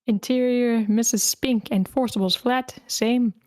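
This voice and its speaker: A woman's voice: on the high side, steady tone, a little slow, darkish tone, no echo. A woman reading screenplay scene directions aloud as the narrator of a table read.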